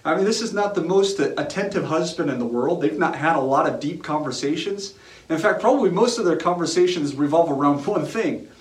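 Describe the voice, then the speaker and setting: Higher-voiced male preacher in a roomy space. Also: expressive, a little fast. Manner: casual, colloquial, repeats phrases for emphasis. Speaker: pastor. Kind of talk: sermon with conversational storytelling and humor. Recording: roomy, echoing sound.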